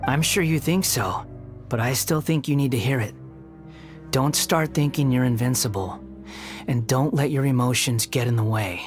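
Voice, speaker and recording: A man's voice: medium pitch, moderate pace, close to an everyday voice. A man playing a sardonic, haughty young villain in a video game, with a mocking, theatrical, condescending delivery. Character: sardonic, haughty young male puppet villain with bitter temper. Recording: music behind the voice.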